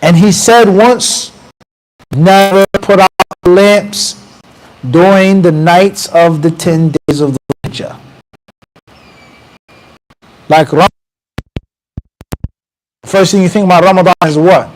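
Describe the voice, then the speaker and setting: Animated high-voiced American mosque teacher. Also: male voice, a little hiss or hum, rough recording. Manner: animated, conversational, quotes Arabic passages. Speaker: mufti teaching a class. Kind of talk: mosque lecture. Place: small mosque room with bookshelves. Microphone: handheld mic close to mouth.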